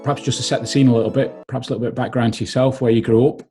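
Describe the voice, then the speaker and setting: A man with a Manx English accent hosting a business podcast, measured and polite, a little hesitant when asking questions. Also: medium pitch, steady tone, dark tone, soft background music. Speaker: podcast host.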